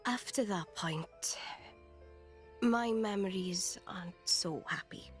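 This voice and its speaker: An actress plays a feisty young woman, a fallen noble turned drifter, for a video game cutscene. She takes her time over her words in a mid-pitched voice. Music plays behind her blunt, informal talk. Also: takes it slowly, close to an everyday voice. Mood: wistful, sad. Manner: informal, cheeky, slangy.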